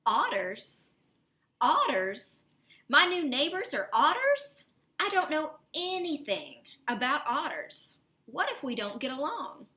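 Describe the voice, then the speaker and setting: A woman's voice: high-pitched, sing-song, slow pace, pushed and bright, pitched above the performer's own voice, full character voice. A woman voicing an anxious, fussy male rabbit in a storybook, with exaggerated questioning delivery. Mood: worried, alarmed.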